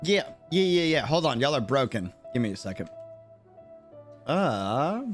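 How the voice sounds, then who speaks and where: A man's voice: mid-range voice, sing-song, a little slow, faint music behind. A man talking casually and jokily in slang as he livestreams a video game. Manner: slangy, informal, jokey. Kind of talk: casual livestream chat and reactions.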